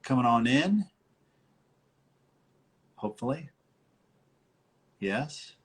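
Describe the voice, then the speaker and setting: A man's voice: medium pitch, animated, extremely slow, a bit bright. A middle-aged man hosting a casual live interview over a video call, talking in an informal, chummy way. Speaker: interview show host.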